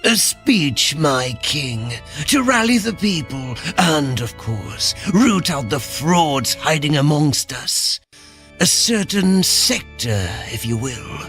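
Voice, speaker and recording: Scheming fantasy henchman, obsequious, highish pitch, gravelly fry, insinuating, audio drama. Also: sing-song, slow, fairly bright tone, exaggerated voice. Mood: sly, coaxing. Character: fawning, scheming male royal advisor, servile and sly. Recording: music behind.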